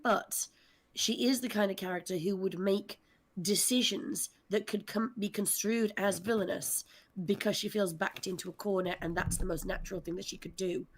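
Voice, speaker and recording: A woman's voice: mid-range voice, fairly even intonation, normal speed, rough recording. A woman speaking articulately and earnestly at length, explaining characters on a discussion panel.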